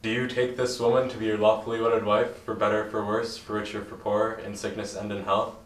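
A man's voice: lowish voice, level tone, brisk, natural voice. A man playing a solemn priest reciting wedding vows in a puppet show. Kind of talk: scripted puppet show dialogue. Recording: echoey, distant mic, faint music.

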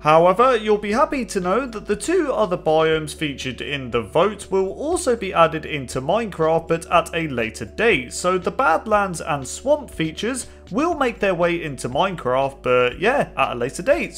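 A young man with a slightly hoarse voice, narrating video game news over gameplay in an upbeat way. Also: high-pitched. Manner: upbeat, lively, repeats "as you can see". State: hoarse, getting sick. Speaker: gaming channel host.

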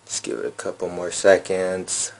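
A man's voice: sits low, moderate pace, poor audio. A man casually walking viewers through a phone reset step by step, with many ums and uhs. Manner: relaxed, lots of fillers. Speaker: phone repair tutorial host. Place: indoor room at a table.

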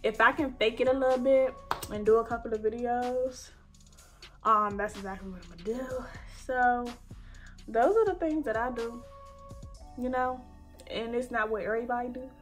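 A woman's voice: a little high, moderate pace, long pauses, faint music behind. Chatty young woman, stuffy-nosed. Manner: chatty, heartfelt, colloquial. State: stuffy nose from allergies. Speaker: beauty vlogger. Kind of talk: casual chat while doing makeup. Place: bedroom, indoors.

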